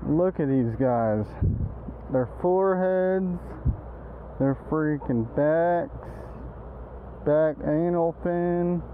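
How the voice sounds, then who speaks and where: A man's voice: a little high, extremely slow, pauses often, a little hiss or hum. Man chatting outdoors, thin phone-like audio. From East Texas, United States. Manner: informal, exclamatory, buddy-style slang. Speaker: angler vlogger. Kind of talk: casual outdoor vlog chat. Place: outdoors by a creek. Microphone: first-person action camera.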